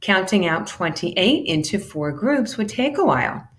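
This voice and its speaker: A woman giving an animated, deliberate read-aloud of a children's educational storybook, shifting between character lines. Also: medium pitch. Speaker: teacher reading a children's math book aloud.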